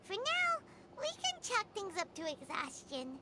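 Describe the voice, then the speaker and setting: Squeaky little fairy companion. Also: female voice, huge pitch swings, unhurried, crowd noise, full character voice. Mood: thoughtful. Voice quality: squeaky, childlike. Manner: speaks of herself in the third person, emphatic. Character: small childlike fairy companion, excitable, refers to herself by name. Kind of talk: video game voice acting.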